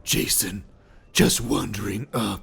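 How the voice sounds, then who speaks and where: A man's voice: lowish voice, steady tone, takes it slowly, over loud music, voice pushed down, exaggerated voice. A man voicing a crude, irritable Batman in an improvised comedy sketch, blunt and sarcastic, swearing freely. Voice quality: gravelly, husky.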